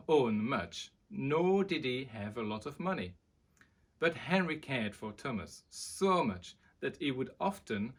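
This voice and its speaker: Slow, animated male storyteller. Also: mid-range voice. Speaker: storyteller presenting a children's book. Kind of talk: expressive storybook reading for children.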